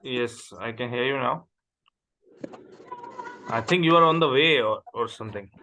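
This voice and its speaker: A man from Pakistan, a native Urdu speaker, speaking as an accounting tutor and patiently interviewing a student over a video call. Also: mid-range voice. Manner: patient, repeats questions slowly. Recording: noisy call audio.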